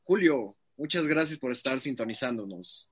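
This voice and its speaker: A man's voice: medium pitch, lively intonation, husky. A man who grew up in the United States, a guest chatting easily on a radio show and laughing often. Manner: slow, easygoing, laughs often. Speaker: guest musician. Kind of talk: radio interview chat.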